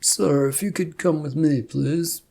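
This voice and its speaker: A narrator doing a male police officer, formal, matter-of-fact, gravelly, storybook dialogue. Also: mid-range voice, unhurried, warm tone. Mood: businesslike.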